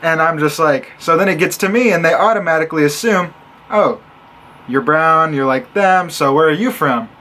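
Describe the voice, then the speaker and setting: High-voiced young man telling stories. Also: moderate pace. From Illinois, USA. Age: young adult. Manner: animated and sarcastic, acting out others' lines. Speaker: college student vlogger. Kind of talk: casual storytelling vlog.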